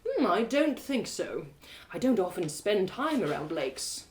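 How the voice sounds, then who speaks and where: A woman voicing a persistent young male traveler who talks in a dry, calm, needling way, in a live reading of her audio drama. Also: medium pitch, unhurried.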